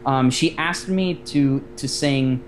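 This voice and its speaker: A man's voice: mid-range voice, takes it slowly, a little hiss or hum. Man rambling with lots of fillers. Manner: rambling, many fillers and restarts. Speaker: guest voice actor and director. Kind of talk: casual podcast chat.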